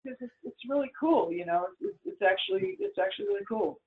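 High-voiced man on a tinny phone line. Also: big pitch swings, fast talker. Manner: rambling and blunt, swears freely. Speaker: musician. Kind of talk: casual interview over the phone.

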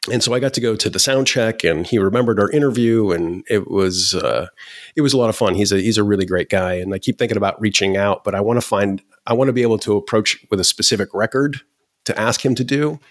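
A low-voiced man in his forties talks casually as a podcast host. His delivery is deadpan and halting, full of restarts. The recording is dry and close. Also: dry recording. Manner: halting, many restarts and fillers. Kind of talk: casual conversation.

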